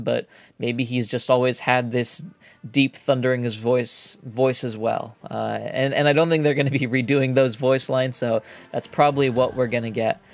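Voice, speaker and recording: A man giving casual, explanatory commentary as a gaming video host, feeling his way through his phrases with some repetition. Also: mid-range voice. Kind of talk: casual game lore commentary.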